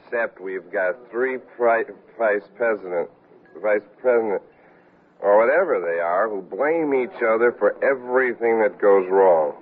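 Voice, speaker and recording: A man's voice: on the low side, unhurried. Tipsy company man, tinny radio sound. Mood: tipsy, confiding. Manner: rambling, confiding, sardonic. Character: tipsy, chatty, disgruntled company man in a bar. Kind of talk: 1970s radio comedy acting. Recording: thin, phone-like vintage radio recording.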